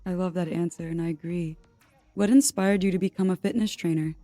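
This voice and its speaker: A woman's voice: on the low side, steady tone, brisk, warm tone, no echo, faint music behind. A woman hosting a podcast interview, upbeat and encouraging. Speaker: podcast host.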